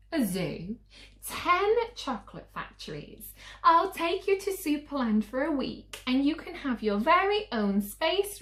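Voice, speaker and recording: In a roomy echo, a woman plays a storybook mum desperate to win her child over. The voice is high and swoops eagerly as it coaxes and pleads, piling promise upon promise. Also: a bit bright, full character voice. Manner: coaxing, gushing through escalating lists.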